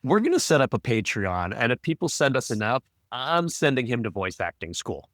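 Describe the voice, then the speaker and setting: A man's voice: mid-range voice, big pitch swings, brisk, a bit bright, no echo. A man co-hosting a casual podcast discussion as its audio producer, with dry, teasing banter.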